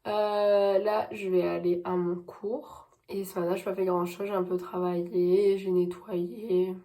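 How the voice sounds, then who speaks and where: A woman's voice: lowish voice, flat delivery, a little slow, mellow. A young woman chatting casually in a vlog diary, congested with a cold, talking in a chatty way with lots of fillers and happy repetition. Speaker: vlogger.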